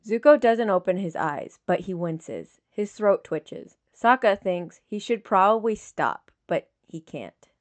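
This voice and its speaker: Woman, mid-pitched voice, audiobook narration, measured, solemn, dramatic fiction. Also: animated. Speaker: audiobook reader of fan fiction.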